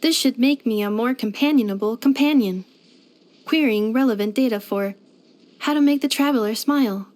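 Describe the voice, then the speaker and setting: A woman's voice: a little high, normal speed, darkish tone, natural voice. A woman voicing a polite, helpful robot maid companion in a video game, speaking in a formal, precise, earnest way. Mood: earnest, curious. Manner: formal, precise, clipped system-report phrasing. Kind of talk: scripted video game character voice lines.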